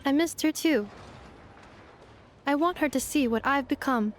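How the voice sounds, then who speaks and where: A woman voicing a calm, strict robot caretaker in a video game, with a formal, measured, matter-of-fact delivery. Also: high-pitched, a little hiss or hum.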